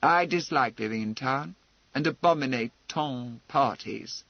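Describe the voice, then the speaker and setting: A man's voice: mid-range voice, unhurried, vocal fry, no echo, dull audio. Guy doing a cold bossy aristocrat from a novel, curt, matter of fact, dry recording. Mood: curt, final. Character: cold, commanding, unbending aristocratic lord.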